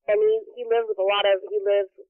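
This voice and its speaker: A narrow, tinny phone line carries a woman's voice. She speaks haltingly and earnestly, with an apologetic tone as she explains herself. There is little room sound around her. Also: mid-range voice, level tone, dry recording. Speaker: prank call recipient. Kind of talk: hesitant phone conversation.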